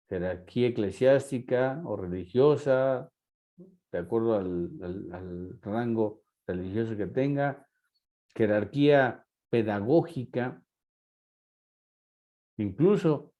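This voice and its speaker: A man's voice: medium pitch, highs cut off. A man with a Mexican accent, lecturing a class and asking rhetorical questions. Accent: Mexican Spanish. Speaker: teacher.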